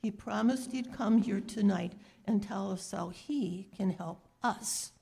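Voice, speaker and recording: A woman playing a stubborn, streetwise apple seller in a 1930s radio drama, with folksy, emphatic speech and a gravelly, husky voice. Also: medium pitch, takes it slowly, mellow, exaggerated voice. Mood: earnest, hopeful. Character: feisty streetwise apple-selling beggar woman, stubborn, rallying.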